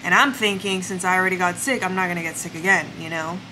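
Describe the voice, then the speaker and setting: A woman's voice: lowish voice, fairly even intonation, fast talker, noisy background. A woman in her twenties with a congested voice from the flu, chatting casually to the camera at her vanity in her bedroom, full of "like" fillers. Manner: chatty, storytelling, lots of "like" fillers. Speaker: lifestyle vlogger. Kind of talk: casual vlog chat to camera. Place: home bedroom, indoors.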